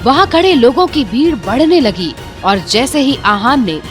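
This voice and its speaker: A woman's voice: high voice, sing-song, normal speed, over music. A woman narrating a scripted audio drama in a dramatic storytelling manner.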